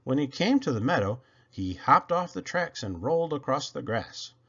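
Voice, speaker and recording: A man reading a children's story aloud, narrating expressively with dramatic emphasis and drawn-out words. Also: medium pitch, very animated, fairly bright tone, breathy edge, lo-fi sound. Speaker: storybook reader.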